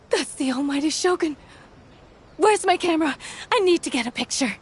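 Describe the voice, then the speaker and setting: A starstruck female game villager, high-voiced with big pitch swings. She is polite yet flustered, with soft music behind her. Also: lively intonation, measured pace. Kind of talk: video game character acting.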